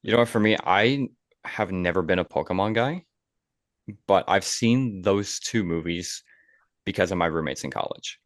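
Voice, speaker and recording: A man's voice: low-pitched, moderate pace, dry recording, clean studio sound. A young man hosting a casual podcast chat, talking in a rambling way and repeating his phrases. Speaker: podcast host.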